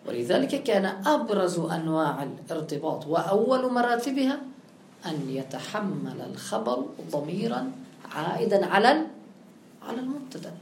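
A woman's voice: medium pitch, big pitch swings, moderate pace, a little mellow, a little hiss or hum. A woman teaching grammar with a touch, explaining step by step and posing her own questions. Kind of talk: classical grammar lesson. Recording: echoey room with faint ambience.

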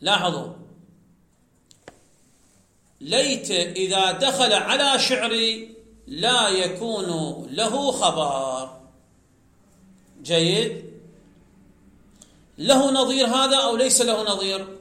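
A man's voice: high-pitched, lively intonation, takes it slowly, long pauses, bright, forceful tone, echoey room. A man teaching Arabic grammar in a classroom lecture, explaining step by step and asking his students questions that he then answers himself.

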